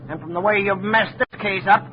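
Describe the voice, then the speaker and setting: An actor playing a condescending steel mill supervisor, lecturing in a 1950 radio drama. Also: male voice, high-pitched, fairly quick, natural voice. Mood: smug. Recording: muffled 1950 radio broadcast, faint hiss.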